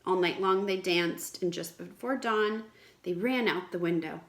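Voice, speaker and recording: A woman teacher reading aloud to children in an expressive, explanatory way, with questions for the listeners. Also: lowish voice, a little slow. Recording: echoey room.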